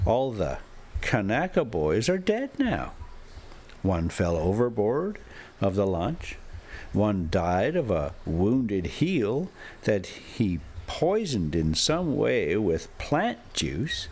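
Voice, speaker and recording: Man, low pitch, very animated intonation, unhurried pace, folksy halting delivery, casual storyteller and vlogger. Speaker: host of a travel and story vlog. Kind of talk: casual rambling chat mixed with storybook reading aloud. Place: inside a motorhome while driving.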